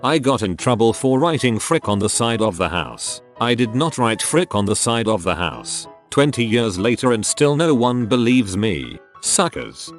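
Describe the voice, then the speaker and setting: A man reading online stories aloud as scripted narration, steady with wry punchlines. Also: medium pitch, normal speed, hardly any pauses. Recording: soft music underneath.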